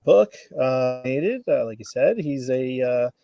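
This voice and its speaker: A man's voice: medium pitch, lively intonation, normal speed, vocal fry, no room sound, dull audio. A man chatting loosely and casually as a guest on a video call, often saying "you know". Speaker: guest, radio play organizer. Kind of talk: casual chat.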